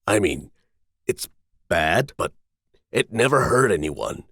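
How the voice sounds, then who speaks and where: A man with a fairly low voice tries out lines as an amateur voice actor. He speaks slowly and deadpan, in a very dry recording. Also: dry recording. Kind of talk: script takes and casual joking asides.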